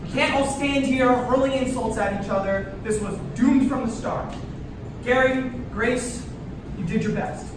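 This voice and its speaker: A man's voice: very high voice, a little slow. A man playing an exasperated, blunt young man in a live staged comedy reading. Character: exasperated 25-year-old birthday man, blunt and immature. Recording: echoey room from far off, noisy.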